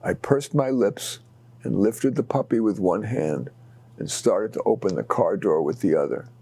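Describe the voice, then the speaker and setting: A man's voice: on the low side, lively intonation, moderate pace, vocal fry, warm tone, slightly rough, no room sound. Man reading short stories aloud, dry sound. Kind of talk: expressive read-aloud storytelling.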